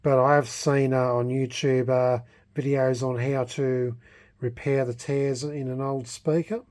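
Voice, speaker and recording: A man narrating a casual, unscripted hobby vlog in a hesitant, halting way, thinking aloud as he goes. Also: medium pitch, little pitch movement, a little mellow. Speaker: hobbyist vlogger restoring a vintage radio.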